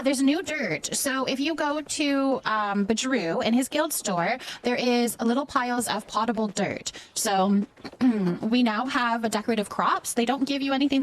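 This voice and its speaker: A woman's voice: fairly high pitch, rough recording. A woman livestreaming a game, chatting casually with viewers and thinking aloud as she goes.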